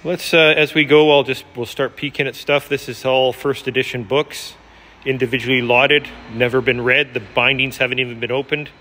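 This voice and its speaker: A man giving a casual, unscripted walkthrough as an auctioneer, with an enthusiastic salesman's pitch, in a large indoor warehouse. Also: fairly even intonation, normal speed, sharp tone, a little hiss or hum. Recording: reverberant large hall.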